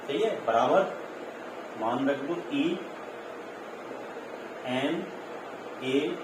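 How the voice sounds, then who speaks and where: A man's voice: mid-range voice, lively intonation, extremely slow. A man teaching physics in an explanatory, repetitive way, checking in as he goes and mixing in English terms. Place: echoey room. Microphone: distant microphone. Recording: very echoey, distant mic, clear noise.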